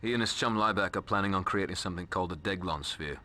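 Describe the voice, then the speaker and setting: A man's voice: sits low, fast talker, bright, forceful tone. An actor playing a sardonic, cocky male vampire with a British accent, speaking in a sneering, slangy, mocking way. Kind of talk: video game voice acting.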